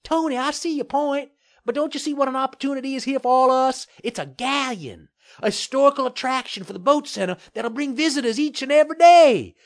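Man as high-pitched, placating small-town mayor. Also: brisk. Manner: eager, conciliatory, smiling.

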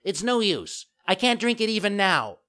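A stubborn little marionette boy from a storybook. The voice is pitched far up, loud and whining. It protests petulantly and swings widely in pitch. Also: very animated, fast talker. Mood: whiny, stubborn.

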